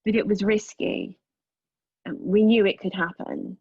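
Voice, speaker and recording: An actress in a table read of a play, playing an agitated, defiant, outspoken woman who speaks haltingly and emotionally. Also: mid-range voice, fairly even intonation, a little mellow, breathy edge, no echo, highs cut off. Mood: halting, confessional. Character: defiant, agitated woman arguing with a machine, giving personal testimony.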